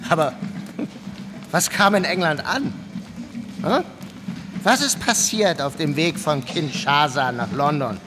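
Higher-voiced, coarse, sardonic storyteller. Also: male voice, takes it slowly, pauses often, over music. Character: animated, coarse, sardonic male storyteller among reporters. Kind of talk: radio drama anecdote telling.

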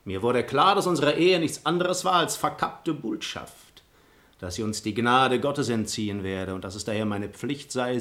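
An actor playing a cynical, falsely pious noble seducer in a classic radio play, eloquent and mock-solemn. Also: male voice, medium pitch, lightly acted. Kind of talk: German radio play acting of classic comedy.

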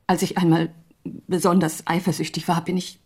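Husky, hesitant grieving woman. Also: lowish voice, flat delivery. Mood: troubled, confiding. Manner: hesitant, halting, hushed. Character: grieving, doubting woman confiding in a detective. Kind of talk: naturalistic radio drama acting.

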